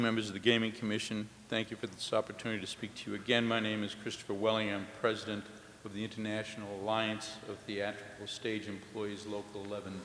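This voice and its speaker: A man who is a union president, giving brisk, businesslike prepared testimony at a public hearing. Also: lowish voice, fairly bright tone. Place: large public hearing hall. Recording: roomy echo, long hall reverb, faint hiss.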